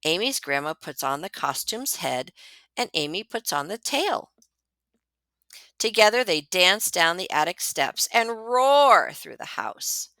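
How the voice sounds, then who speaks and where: Slow, animated woman reading a storybook. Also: on the low side, dry recording. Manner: dramatic, deliberate storytelling with emphasized words. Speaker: librarian reading aloud to students. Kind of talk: expressive children's picture book read-aloud.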